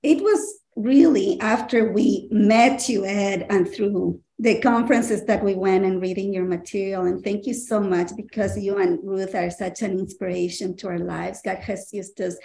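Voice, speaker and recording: A woman's voice: medium pitch, moderate pace, creaky, few pauses. Earnest middle-aged woman in a roomy room. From Ecuador. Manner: warm, earnest, laughs easily. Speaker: guest. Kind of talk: casual interview talk. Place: home living room. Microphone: video call. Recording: roomy video call audio.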